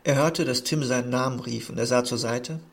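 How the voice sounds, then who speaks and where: A man narrating a literary text with a strongly creaky, gravelly voice. His tone is husky rather than clear, and he reads in a measured, earnest way. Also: mid-range voice. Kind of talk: literary reading.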